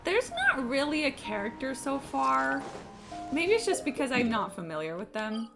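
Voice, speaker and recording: A woman's voice: high voice, wildly swooping pitch, normal speed, vocal fry. A woman chatting casually on a gaming livestream in a rambling, sweary, self-deprecating way. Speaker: live game streamer. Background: game music.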